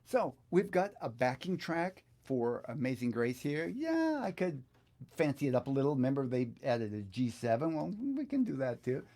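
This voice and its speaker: A middle-aged or older man giving a casual hands-on software music lesson, thinking aloud in an easygoing, halting way as he works. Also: medium pitch, sing-song. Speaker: guitar teacher presenting a software tutorial. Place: small indoor home studio, seated at computer. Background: backing track music.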